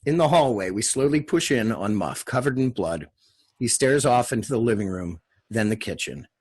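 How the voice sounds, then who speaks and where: A man's voice: mid-range voice, expressive, moderate pace, darkish tone, husky. A man reading screenplay stage directions as narrator, in clipped scripted phrases. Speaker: stage-direction narrator and table read leader.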